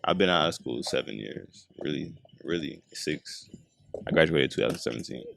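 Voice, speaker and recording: A young man in his twenties with a very low, deep voice talks in a relaxed, rambling way, full of "you know" and "um". He speaks into a handheld mic outdoors at a skate park. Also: normal speed, gravelly, slight background noise. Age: 20s. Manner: laid-back, rambling with many fillers. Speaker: podcast guest. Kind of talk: casual chat.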